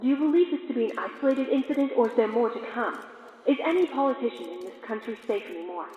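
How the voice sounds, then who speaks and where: A woman's voice: a little high, lively intonation, quick pace, lightly acted. A woman playing an insistent press reporter in an audio drama, firing questions urgently. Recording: dull, echoey hall with crowd.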